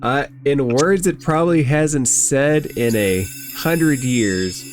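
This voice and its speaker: A man's voice: medium pitch, takes it slowly. A man acting as game master, narrating a tabletop fantasy game in a casual, descriptive way.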